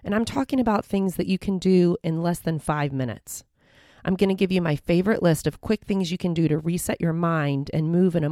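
A woman in her forties, slightly hoarse, hosting a self-help podcast in a bubbly, encouraging way. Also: fairly low pitch, dark tone, no echo, clean studio sound. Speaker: podcast host.

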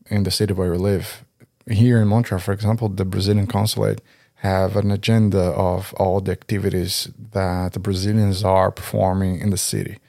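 A man with a low, dark voice and a gravelly fry. He talks in a steady, conversational way that sometimes halts mid-phrase, recorded close and dry. He is in his thirties. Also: no echo. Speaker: podcast co-host. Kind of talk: scripted podcast talk.

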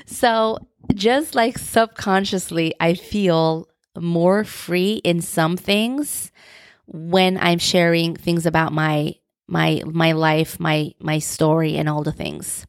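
A woman's voice: fairly low pitch, steady tone, slow, clear, resonant tone, no echo, clean studio sound. A young woman with a Turkish accent, hosting her own podcast, who talks in a reflective and openly personal way. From Turkey. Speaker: podcast host. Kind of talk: solo podcast monologue.

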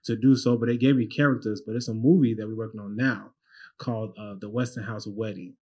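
A man in his twenties, a guest minister, talking about his life in a casual, animated way, often repeating and restarting. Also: lowish voice, brisk, dull audio. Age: 20s. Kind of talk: casual interview talk.

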